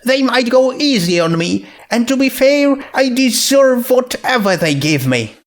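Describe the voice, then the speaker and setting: A meek, bookish young unicorn mare, played by a male narrator in a story. The voice is high and slow, hesitant and apologetic throughout. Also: very animated, heavily acted. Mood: resigned. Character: anxious, guilt-ridden young female unicorn, bookish and meek.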